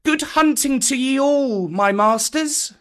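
A man's voice: extremely high pitch, slow, fairly bright tone, clean tone, voice pushed up, full character voice. A man reading a storybook aloud, voicing a small jungle boy who speaks in formal, earnest old-style phrases.

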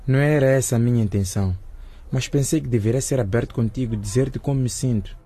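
A man's voice: mid-range voice, quick pace, warm tone, slightly rough, natural voice. A man playing a lovesick, earnest teenage schoolboy in a radio drama, hesitant and apologetic.

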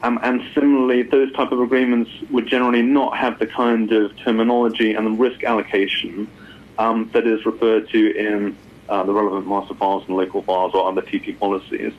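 Man on a phone-like line, slightly husky. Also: on the low side, flat delivery, fairly quick. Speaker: guest expert, lawyer. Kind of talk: explaining in an interview.

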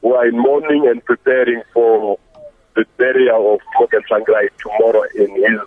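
A man's voice: medium pitch, steady tone, normal speed. A man from Zimbabwe, a human rights director, answering as a phone guest, hesitant with many fillers. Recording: tinny phone line.

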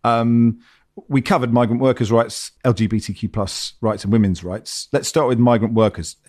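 A man's voice: medium pitch, fairly even intonation, normal speed, a little mellow. A man hosting a football podcast, introducing the panel in an upbeat, chatty way. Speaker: podcast host.

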